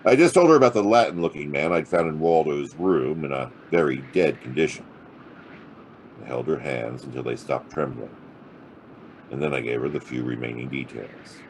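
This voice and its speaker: Very low-voiced hard-boiled detective. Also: male voice, moderate pace, slight background noise, rough recording. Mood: brooding. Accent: American. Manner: sardonic, wry, clipped. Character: hard-boiled, wisecracking male private detective, narrating his case. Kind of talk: noir audio drama acting and narration.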